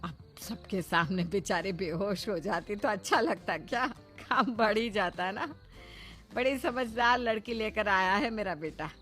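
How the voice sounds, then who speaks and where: A woman's voice: medium pitch, a little slow, fairly bright tone, faint music behind, lightly acted. Doting, naive older mother played by a woman. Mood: gentle. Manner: soothing, simple-minded, motherly. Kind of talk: cartoon voice acting.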